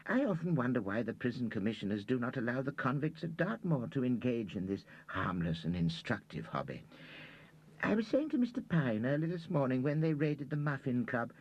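A man voicing a timid, fussy older gentleman who talks in a hesitant, prim, preachy way. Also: medium pitch, big pitch swings, brisk, breathy edge, lo-fi sound, pitched above the performer's own voice.

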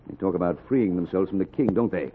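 A man's voice: deep voice, fast, close to an everyday voice. A man playing a stern, haughty British naval captain issuing threats in a historical radio drama. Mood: menacing. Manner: haughty, menacing. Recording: phone-like old recording with faint noise.

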